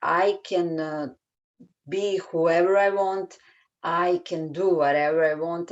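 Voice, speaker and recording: A woman with a Serbian accent, a children's book author answering interview questions in a hesitant way, pausing often with filler sounds. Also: sits low, dull audio.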